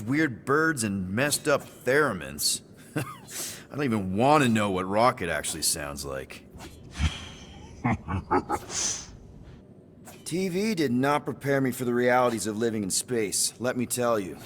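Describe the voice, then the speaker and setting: A man's voice: medium pitch, expressive, measured pace, long pauses, fairly bright tone. An easygoing crew leader from a space video game. His voice sits in a middle range and he talks in friendly, rambling slang, with faint noise behind him. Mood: sincere, friendly. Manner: casual, rambling, boyish slang.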